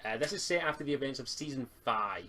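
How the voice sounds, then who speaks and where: A man's voice: medium pitch, expressive, fast, pushed and bright. A man chatting casually as game master and host of a tabletop roleplay session over a group voice call. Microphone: computer mic on internet voice call. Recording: noisy home mic on group voice call.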